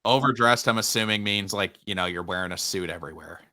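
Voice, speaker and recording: Low, bright-voiced young man. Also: fast talker, no room sound, studio quality. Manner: easygoing, playful teasing. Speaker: podcast co-host. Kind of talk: casual sports podcast chat.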